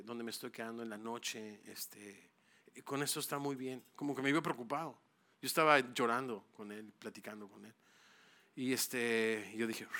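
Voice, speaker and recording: A man's voice: mid-range voice, fairly bright tone. A middle-aged man, a pastor giving a conversational sermon, stumbling over and repeating words. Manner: conversational, repeats words, calls listeners bro.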